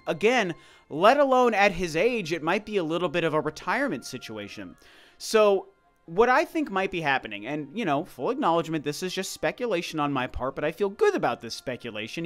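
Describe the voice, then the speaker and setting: A man with a slightly high voice delivers casual opinion commentary in a voice-over. He talks conversationally but emphatically, circling back over his points to drive them home. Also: a bit bright. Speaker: video commentator. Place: voice-over over gameplay footage.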